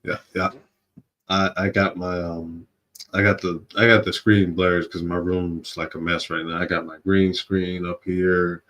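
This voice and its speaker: A man hosts a casual livestream in a very low voice. He takes his time, talking slowly and rambling through slangy commentary. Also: flat delivery, a bit bright. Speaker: livestream host. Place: home studio room.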